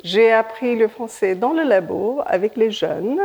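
A woman's voice: a little high, expressive, measured pace, a little mellow, slightly rough, muffled recording. A woman from India, a native Hindi speaker, with an Indian accent, giving a conference talk on epidemiology, searching for words and often restarting. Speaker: epidemiology researcher.

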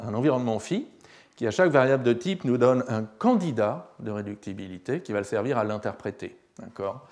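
Slightly hoarse lecturer, hesitant. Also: male voice, mid-range voice, darkish tone. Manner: hesitant, many fillers and restarts, checks understanding. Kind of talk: university lecture.

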